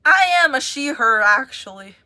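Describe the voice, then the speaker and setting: Young woman, high voice, bright tone, giggly, rambling streamer chat. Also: animated, normal speed. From United States. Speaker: livestreamer. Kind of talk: casual chat with viewers.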